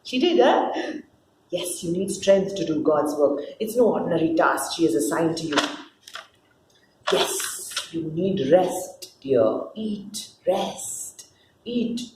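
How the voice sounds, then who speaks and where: A woman's voice: lowish voice, animated, a little mellow, voice pushed down. A woman voicing a scheming, jealous serpent with a sneering, melodramatic manner in a dramatic stage play reading. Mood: coaxing. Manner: sneering, melodramatic, repeats words, drawn-out phrases. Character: scheming, jealous, theatrical serpent, mocking fallen angel. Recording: roomy echo with clear background noise.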